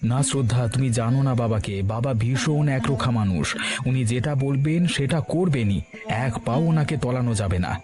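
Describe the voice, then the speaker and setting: An anxious young man, played by a male actor in a cartoon drama, with music clearly playing behind him. His mid-range voice is earnest and hesitant. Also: mid-range voice, fairly quick, natural voice. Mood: worried. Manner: earnest, unsure. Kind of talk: dramatized cartoon story dialogue.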